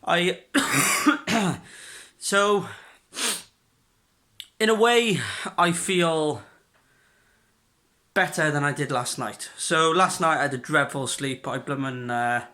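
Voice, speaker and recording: Young man, congested and coughy, bright tense voice, fairly high, rambling casual chat. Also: takes it slowly, pauses often. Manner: rambling, chatty, frequent fillers and restarts. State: congested and coughing, sore throat. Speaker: vlogger. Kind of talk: casual video diary. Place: at home.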